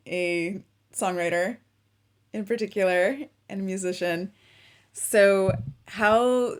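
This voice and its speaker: A woman hosts a casual interview with a mid-range voice and a slow, unhurried pace. She keeps her remarks brief and encouraging between questions. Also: mid-range voice. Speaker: interview host.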